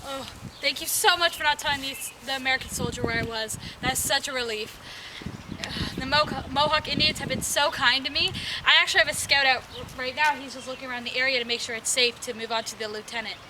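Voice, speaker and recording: A woman's voice: high voice, steady tone, fast, lightly acted. A woman playing a grateful, friendly heroine in an outdoor historical play, talking in a hesitant conversational way. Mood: relieved, grateful. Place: outdoors in a park. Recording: distant mic, clear outdoor noise.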